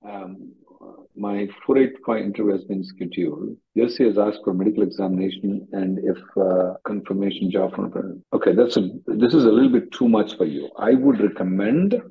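A man's voice: fairly low pitch, normal speed, vocal fry, slightly rough. A man working as an immigration attorney, bluntly advising callers on a conference call and repeating himself for emphasis. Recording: dull lo-fi conference call audio.

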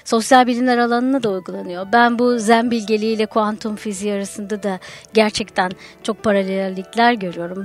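A woman guest on a radio show, a folklore researcher explaining ideas in a lively way with anecdotes. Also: mid-range voice. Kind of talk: radio conversation. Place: radio studio.

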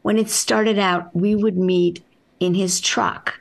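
A woman author with a soft voice, answering interview questions in an unhurried, reflective way with halting restarts. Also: mid-range voice, fairly even intonation, creaky.

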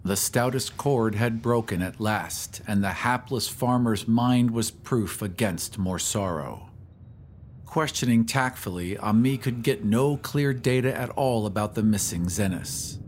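A man reading a classic horror story as scripted dramatic narration, in a measured, grave, literary manner. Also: fairly low pitch, a little hiss or hum. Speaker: narrator of an audio fiction podcast.

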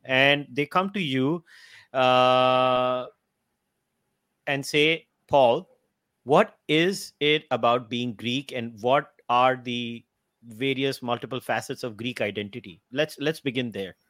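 A man's voice: mid-range voice, takes it slowly, fairly bright tone, no echo. Chatty podcast host man, dry close sound. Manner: chatty with frequent restarts and small laughs. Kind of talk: casual interview.

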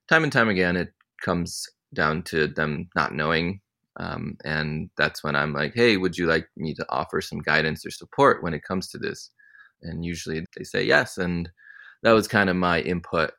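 Young male interview guest, very deep voice, easygoing and reflective delivery. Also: creaky. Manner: relaxed, thoughtful, many ums. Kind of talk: casual interview answers.

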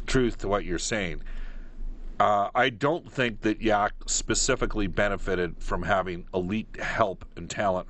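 A man hosting a live sports phone-in, giving opinions emphatically and pausing mid-thought. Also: sits low, a bit bright. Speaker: sports radio call-in host.

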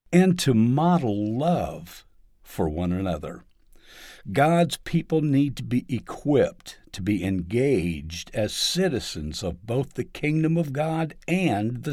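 A man reading a scripted devotional article aloud in a measured, earnest way, with emphatic phrasing. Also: mid-range voice, a little mellow, no room sound, studio quality. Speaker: article narrator.

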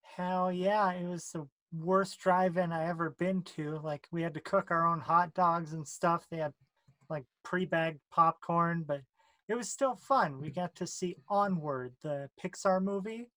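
A woman's voice: on the low side, unhurried, a bit bright, no echo. Blunt woman, close dry sound. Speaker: podcast co-host. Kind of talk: casual chat.

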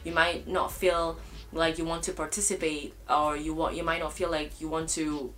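A woman's voice: deep voice, level tone, normal speed, a bit bright, soft background music. A young woman from Cameroon co-hosting a casual talk show, speaking emphatically and throwing out rhetorical questions. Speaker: podcast co-host. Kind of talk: casual chat.

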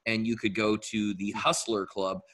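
A man's voice: on the low side, flat delivery, moderate pace, fairly bright tone. A man co-hosting a casual podcast, telling rambling anecdotes and making dry asides. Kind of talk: casual chat.

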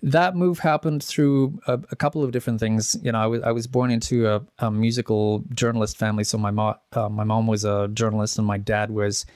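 A man's voice: on the low side, fairly even intonation, a little fast, few pauses, a little mellow, no echo, studio quality. A man in his thirties, born in the Czech Republic, chatting as a musician guest in an interview, rambling through stories with many restarts and fillers. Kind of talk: casual interview chat.

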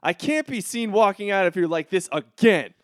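A man's voice: high voice, animated, normal speed, no room sound, clean studio sound. A man playing a pampered, crude teenage rich boy in a comedic audio drama, with a swaggering, melodramatic delivery. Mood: panicked, pleading.

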